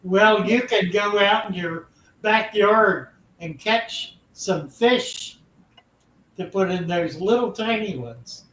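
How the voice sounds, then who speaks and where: Slow, genial older man, high voice. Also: a bit bright. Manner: slow, genial, encouraging replies. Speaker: host. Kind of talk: casual call-in chat. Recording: roomy sound from far off, faint ambience.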